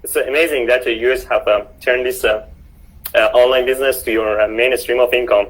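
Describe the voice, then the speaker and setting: A man's voice: medium pitch, fairly even intonation, a little fast, rough recording. A man hosting a casual online interview, speaking hesitantly with many fillers and restarts. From Canada. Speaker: host.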